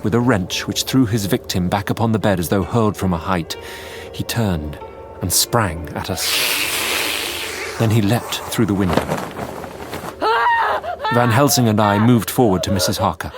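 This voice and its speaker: A man playing an earnest Victorian doctor, narrating a radio drama gravely and deliberately in short phrases. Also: on the low side, lightly acted. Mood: horrified, tense. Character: earnest Victorian male doctor recounting horrors. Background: music.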